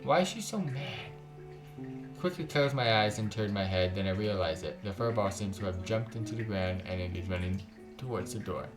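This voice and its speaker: A man's voice: on the low side, moderate pace, music in the background. A man hosting a gaming video, reading a visual novel aloud with casual reactions.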